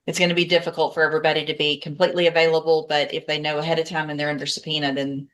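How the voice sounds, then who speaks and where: Low-voiced woman judge, formal and measured. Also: level tone, a bit bright. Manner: measured, formal, matter-of-fact. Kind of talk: courtroom proceedings. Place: courtroom.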